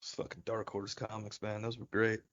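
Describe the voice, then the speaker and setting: A man's voice: fairly low pitch, little pitch movement, fairly quick, fairly bright tone, lo-fi sound. A man co-hosting a podcast in loose, joking, casual fan chat. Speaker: podcast co-host.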